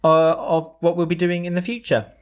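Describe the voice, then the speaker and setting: A man's voice: a little high, monotone, normal speed, smooth. A young man co-hosting a casual podcast chat, playful and laughing often as he rambles through plugs and jokes. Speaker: podcast co-host.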